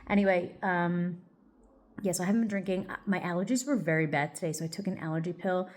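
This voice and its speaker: A woman with a slightly low voice chats casually while getting ready, her talk loose and rambling and packed with "like" and "um". She sounds a touch stuffy, as if coming down with something. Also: fast, darkish tone. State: a little congested, stuffy. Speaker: vlogger. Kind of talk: casual get-ready-with-me chat.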